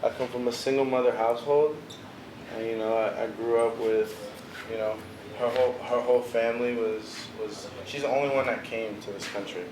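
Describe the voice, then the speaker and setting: A man's voice: mid-range voice, fairly even intonation, a little fast. A young man from the Washington DC area, a visual artist chatting casually as an interview guest, laid-back and full of "bro" and "you know". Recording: echoey room with noticeable background noise.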